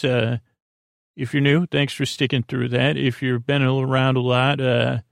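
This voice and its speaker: A man with a creaky, gravelly voice speaks into a close, dry microphone. He hosts a bedtime podcast in a rambling monologue, stammering and doubling back on his phrases. His manner is gently reassuring, and his pitch sits in an ordinary middle range for a man. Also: dry recording. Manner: halting, meandering, stammering and circling back on phrases. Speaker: podcast host.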